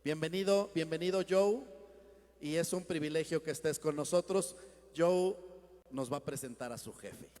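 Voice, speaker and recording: A man opens a church conference with a formal welcome to the guests. His voice sits fairly high for a man. He is heard in a hall with a long reverb. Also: moderate pace, darkish tone. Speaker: event host.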